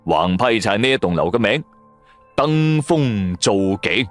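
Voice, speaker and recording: A man's voice: fairly low pitch, sing-song, a little slow. A man with a Cantonese accent narrating a supernatural serial in the first person, in a dramatic, colloquial storytelling manner. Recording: music bed under the voice. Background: background music.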